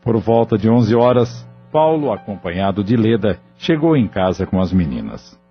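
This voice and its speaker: A man narrating an audio drama in a measured, solemn way. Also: on the low side, big pitch swings. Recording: dull lo-fi audio with faint music.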